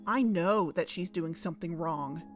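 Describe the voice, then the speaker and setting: A woman's voice: on the low side, normal speed, soft background music, close to an everyday voice. A woman playing a wary, determined young woman, earnest and confrontational, in a naturalistic audio drama. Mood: firm, accusing.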